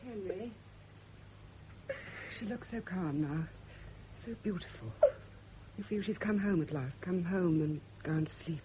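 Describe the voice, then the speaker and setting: An actress in a radio drama playing a composed, subtly manipulative young gentlewoman, gentle and solicitous in manner. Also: on the low side, unhurried, long pauses, close to an everyday voice. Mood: tender, soothing. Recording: dull, noisy old radio recording.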